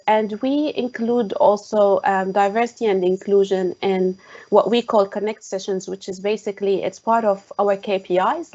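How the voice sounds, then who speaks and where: A woman's voice: medium pitch, mellow. Muffled woman with a Jordanian Arabic accent. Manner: hesitant, many "um" fillers. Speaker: tech specialist guest. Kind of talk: online panel conversation. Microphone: video call. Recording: muffled lo-fi online call.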